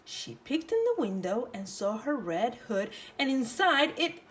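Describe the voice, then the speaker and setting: A woman storyteller reading a children's picture book aloud in an animated, theatrical way. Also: fairly high pitch, measured pace.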